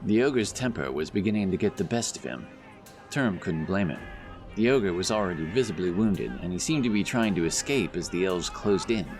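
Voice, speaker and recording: A man's voice: sits low, expressive, fairly quick. A man narrating a scripted fantasy audiobook as storyteller. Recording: narration over loud background music. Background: music, outdoor sound effects.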